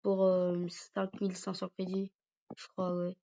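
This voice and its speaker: Gravelly guy with a cold. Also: high-pitched, completely flat delivery, measured pace, mellow. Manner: rambling, hesitant. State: stuffy from a cold, sore throat. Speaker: gaming YouTuber. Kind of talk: casual gameplay commentary. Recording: dull lo-fi recording.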